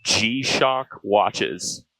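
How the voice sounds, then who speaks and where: A man's voice: lowish voice, extremely slow, pushed and bright, slight background noise, low-quality recording. A man hosting a casual quiz-style interview, chatting informally. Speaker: show host and pilot. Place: small aircraft cockpit.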